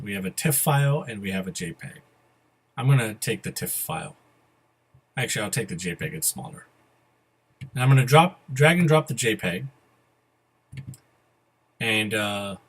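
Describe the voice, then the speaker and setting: A man with a slightly husky voice presenting a step-by-step software tutorial in a casual, methodical way. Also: on the low side. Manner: methodical, spelling out each step. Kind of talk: casual step-by-step screencast instruction. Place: voice-over to a computer screen recording.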